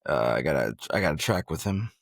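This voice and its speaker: A man's voice: extremely low pitch, animated, fairly quick, dry recording, studio quality. A man hosting a podcast, chatting casually about sports in a slangy, bro-ish way. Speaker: podcast host.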